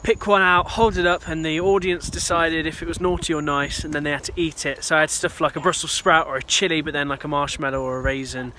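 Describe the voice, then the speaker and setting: A man's voice: on the high side, fairly even intonation, fast talker, hardly any pauses, bright, forceful tone, slight background noise. A young British man chatting casually while walking outdoors, filmed on a phone. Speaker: vlogger, youth speaker. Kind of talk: casual vlog chat. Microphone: phone camera.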